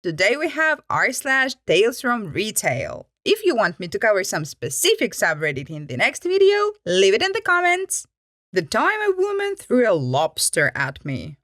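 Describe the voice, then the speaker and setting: A woman hosting a storytime channel, reading aloud in an expressive, animated and informal way. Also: mid-range voice, normal speed, dry recording, clean studio sound. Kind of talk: expressive storytime read-aloud with personal reactions. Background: background music.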